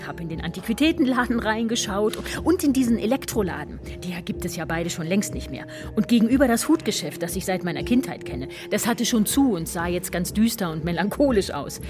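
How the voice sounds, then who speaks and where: Actress as a confiding woman, medium pitch, wistful, self-conscious, naturalistic radio play, music underneath. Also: natural voice. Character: embarrassed, candid woman confiding a romantic disappointment. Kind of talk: naturalistic radio drama acting.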